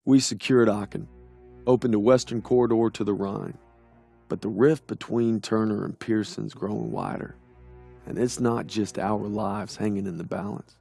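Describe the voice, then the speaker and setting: An actor playing a young American soldier, an earnest, weary wartime narrator in a war game. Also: male voice, on the low side, steady tone, moderate pace, gravelly, mellow, soft background music. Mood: somber. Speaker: infantry private.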